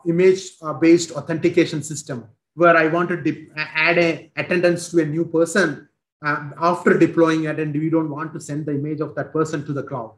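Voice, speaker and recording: A man in his forties with an Indian accent, presenting a technical product demo over a video call, halting with many fillers and restarts, speaking into a headset. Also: on the high side. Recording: roomy video call audio.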